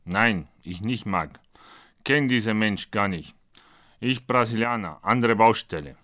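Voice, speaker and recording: A man voicing a blunt, naive Brazilian who talks in choppy, deadpan broken German, performed as a comic monologue. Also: fairly low pitch, a little slow. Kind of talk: comic monologue read from his own book.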